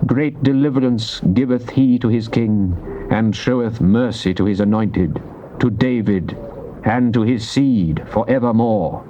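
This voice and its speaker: An actor playing a devout psalmist king, reciting scripture in a solemn, declamatory, deliberate way. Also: medium pitch, dark tone, natural voice. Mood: exultant. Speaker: actor reading Psalms in a dramatized Bible recording. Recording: muffled old cassette tape with faint noise.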